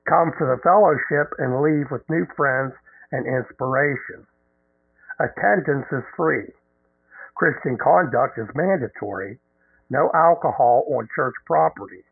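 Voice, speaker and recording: Gravelly, husky man on a phone line. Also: mid-range voice, dry recording. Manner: measured, deliberate reading with halting ad-libs. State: losing his voice. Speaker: radio show host. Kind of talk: reading an event announcement on air. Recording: narrow phone-line radio sound.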